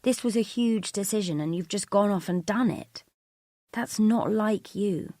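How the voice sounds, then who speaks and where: A woman's voice: mid-range voice, measured pace, warm tone, no echo, clean studio sound, voice pushed up, close to an everyday voice. A woman voicing a stern, exasperated husband holding back his anger in an audiobook story, firm and confronting. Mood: restrained, soft.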